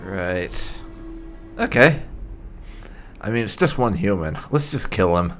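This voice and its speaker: A man's voice: sits low, animated, slow pace, a bit bright, soft background music. A man role-playing a game character in a cheeky, teasing, thoughtful way. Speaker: gaming channel player role-playing his character. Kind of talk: in-character roleplay of game dialogue.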